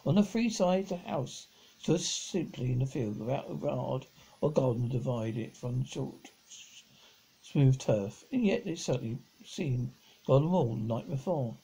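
A middle-aged man reads a children's story aloud, stumbling over words and rereading them, in a husky, gravelly voice. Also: medium pitch, expressive, a little slow, mellow, low-quality recording. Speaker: amateur audiobook reader. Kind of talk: reading a children's novel aloud. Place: living room at home, on a sofa.

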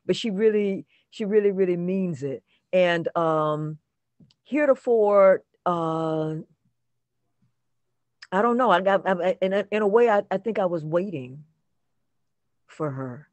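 An older woman, an actress being interviewed on a video call, reflecting conversationally, halting and repeating words for emphasis. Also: fairly low pitch, animated, a little mellow, no room sound. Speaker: actress guest.

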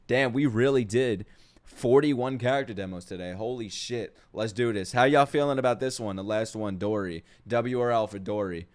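A man doing casual live-stream reaction commentary to game trailers, excitable, slangy and profane. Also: mid-range voice, normal speed. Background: game trailer music.

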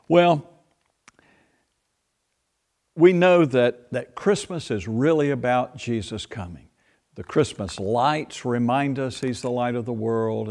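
Gentle older pastor talking to children. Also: male voice, lowish voice. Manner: playful, speaks slowly and gently to children. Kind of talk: children's sermon. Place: church sanctuary, indoors. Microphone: far camera.

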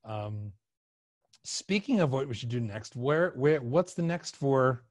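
Man, middle-aged podcast host, casual chat, mid-range pitch, dry close-mic sound. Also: expressive, a little slow, darkish tone, no echo. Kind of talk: casual conversational podcast interview. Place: small room with couch, indoors. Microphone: close podcast microphone on boom, headset.

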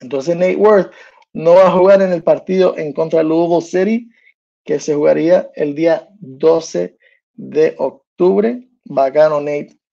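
Gravelly guy rambling about his team on a home podcast, darkish voice, kinda muffled sound. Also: on the high side, unhurried, dark tone. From New Jersey. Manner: loose and chatty, mixing in English slang and fillers. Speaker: sports podcast host. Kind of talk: casual solo sports commentary. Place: small room indoors.

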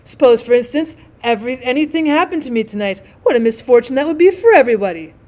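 A woman's voice: a little high, lively intonation, quick pace, darkish tone, pitched above the performer's own voice, close to an everyday voice. A woman voicing a vain, self-obsessed male firework rocket in a storybook reading, with haughty, indignant, self-important delivery. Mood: self-righteous.

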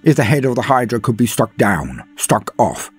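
A man narrating a dramatic scripted story in a grave, portentous manner with deliberate pauses. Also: mid-range voice, big pitch swings, slightly rough, faint music behind.

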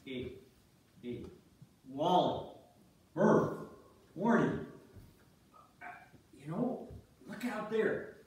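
A man plays a grumbling, chilled ice fisherman ribbing his buddies in a stage play. His delivery is slow and swings widely in pitch. He is heard from far off in a very echoey church. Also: big pitch swings, darkish tone, full character voice. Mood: disbelieving, wry. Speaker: amateur reader's theater performer. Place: church sanctuary stage, filmed from the pews. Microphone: distant camera in the audience. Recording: echoey church hall from far off, faint noise.

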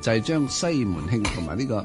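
A man's voice: mid-range voice, very animated, fast, over music. A man with a Hong Kong Cantonese accent co-hosting radio literary commentary, reasoning analytically and posing rhetorical questions. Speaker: co-host.

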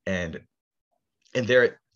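A young man with a deep voice lays out his point earnestly and steadily, pausing on "um" now and then. The call audio is dull and lo-fi. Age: young adult. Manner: earnest, measured, with "um" fillers. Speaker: podcast panelist. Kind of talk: casual chat.

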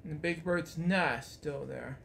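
A man's voice: on the high side, a little slow, gravelly, a bit bright, slight background noise. A man in his thirties reading a picture book aloud in a small bedroom, rambling with casual asides and stock phrases.

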